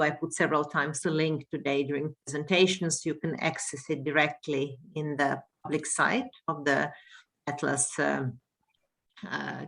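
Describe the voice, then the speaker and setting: Woman teacher presenting a workshop, lowish voice, halting with fillers. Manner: hesitant, many fillers and restarts while explaining. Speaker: world languages teacher and home language coordinator.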